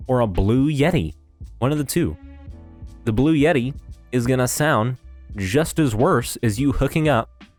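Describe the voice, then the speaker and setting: A man's voice: mid-range voice, a little mellow. A man casually critiquing audio setups in a blunt, rambling way, often restarting and repeating himself. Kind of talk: casual opinion commentary.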